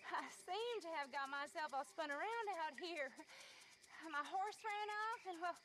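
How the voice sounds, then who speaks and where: A woman's voice: extremely high pitch, expressive, normal speed, a bit bright. A woman playing a sweet, flustered young damsel in distress in a video game drama, hesitant and coy. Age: young adult.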